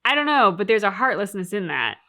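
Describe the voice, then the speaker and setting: A woman's voice: medium pitch, very animated, fairly quick, pushed and bright. A woman hosting a reaction show, giving casual analysis in an emphatic, opinionated way and reasoning aloud through rhetorical questions.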